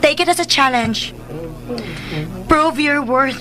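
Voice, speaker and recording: High-voiced teasing Cebuano wife. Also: female voice, takes it slowly, a crowd in the background. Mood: confident. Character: playful, affectionate wife in a family business. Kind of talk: Filipino radio drama acting. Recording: noisy, vehicle-like background sound.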